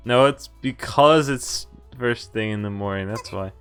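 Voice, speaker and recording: A man's voice: mid-range voice, measured pace, a bit bright, smooth, faint music behind. A man giving casual, amused and incredulous live commentary on a visual novel game, reading some of its text aloud. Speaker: game streamer.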